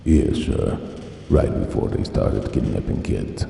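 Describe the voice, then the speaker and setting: Very deep, gravelly military villain. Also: male voice, lively intonation, slow pace, warm tone, full character voice. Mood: smug, threatening. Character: menacing, taunting military major, a hardline adult man. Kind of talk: cinematic sci-fi drama acting. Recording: roomy, distant, long reverb, faint noise.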